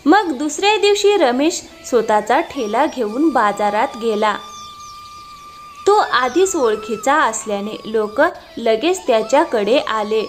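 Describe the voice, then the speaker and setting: A woman's voice: fairly high pitch, lively intonation, takes it slowly, soft background music. A woman narrating a scripted cartoon story.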